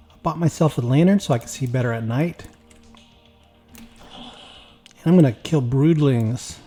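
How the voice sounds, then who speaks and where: A man with a slightly raspy, weak voice, tired after work, chatting casually while playing a game. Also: a little high. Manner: rambling, relaxed, talks while playing. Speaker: gaming YouTuber. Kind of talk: casual gameplay commentary.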